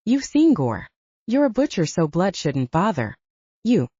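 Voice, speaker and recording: A woman voicing a blunt, brisk female investigator who coaches like a mentor in an audiobook. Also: lowish voice, very animated, warm tone, husky, no room sound, heavily acted. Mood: brisk, commanding. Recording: dull lo-fi, very dry.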